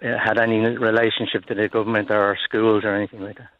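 A man's voice: lowish voice, little pitch movement, dry recording. Older Irish man calling in on a muffled line. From Dublin, Ireland. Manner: halting, plain-spoken storytelling. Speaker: caller. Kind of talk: phone-in call. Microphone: phone.

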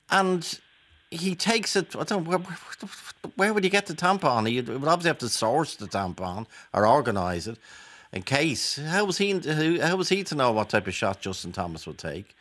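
An Irish man hosts a talk radio phone-in. He challenges his callers with probing questions. His pitch swings widely as he talks. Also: big pitch swings, moderate pace, fairly bright tone.

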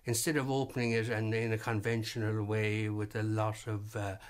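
A man's voice: on the low side, little pitch movement, smooth. A man, speaking hesitantly as an interview guest in a vintage radio program. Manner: halting, many fillers and restarts. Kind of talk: reflective interview talk.

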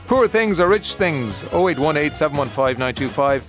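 A man's voice: fairly high pitch, expressive, moderate pace, music in the background. An Irish man hosting a radio talk show, chatting casually and reading promos with a sing-song lilt. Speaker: radio host.

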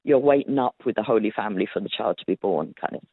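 A woman's voice: very deep voice, animated, fast, vocal fry, slightly rough, no room sound. A woman historian answering interview questions in a chatty storytelling way, laughing often and using plenty of fillers. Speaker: historian guest. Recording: tinny phone-like line.

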